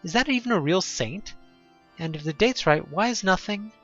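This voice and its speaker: A man's voice: high voice, lively intonation, moderate pace, a bit bright. A man narrating a horror audio drama theatrically in the second person, on a muffled recording with music behind.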